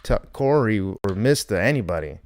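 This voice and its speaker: Man, smooth clear voice, dry close mic, chatty digressive podcast host. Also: on the low side, expressive, moderate pace, no room sound. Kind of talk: casual comedy chat.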